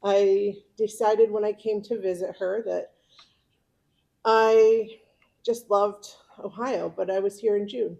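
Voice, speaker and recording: A woman's voice: mid-range voice, steady tone, slow pace, vocal fry, mellow, highs cut off. A middle-aged woman from California with an American accent, chatting informally about herself to a club, often chuckling. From California, United States. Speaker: club member giving a personal talk. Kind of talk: informal autobiographical speech.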